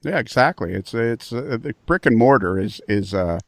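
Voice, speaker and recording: A man runs a podcast in a casual, excited way, often repeating himself mid-sentence. His voice is gravelly with a bit of fry. The recording is dry and close. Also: mid-range voice, moderate pace, dry recording. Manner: enthusiastic, repeats words as he goes. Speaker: podcast host.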